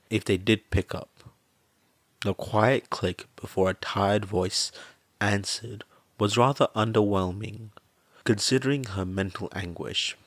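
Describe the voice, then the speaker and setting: Slow, low-voiced male story narrator. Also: dry recording. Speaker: podfic narrator.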